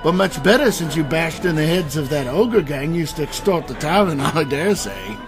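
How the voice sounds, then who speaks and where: A man's voice: a little high, moderate pace, natural voice. A man playing a genial, deferential tavern keeper in a fantasy audio drama, with folksy, obliging talk. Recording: music under the voice.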